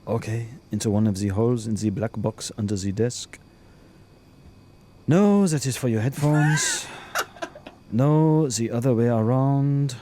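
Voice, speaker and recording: A man's voice: medium pitch, lively intonation, measured pace, pauses often, mellow, slight background noise, natural voice. A man voicing a weary, increasingly exasperated computer helpline operator with a French accent in a comic audio drama.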